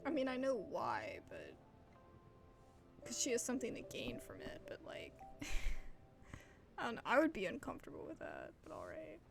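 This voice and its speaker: A woman's voice: a little high, wildly swooping pitch, moderate pace, creaky, pauses often. A young woman giving casual, slangy, skeptical reactions while playing a video game. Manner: informal, slangy, skeptical asides. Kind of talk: casual gameplay reaction commentary. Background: game music.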